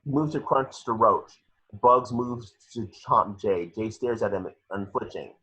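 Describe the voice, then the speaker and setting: A man's voice: fairly low pitch, a little slow, pushed and bright, slightly rough. A man reading scripted action descriptions as the narrator of an amateur table read. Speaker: stage direction narrator. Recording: dull lo-fi home recording.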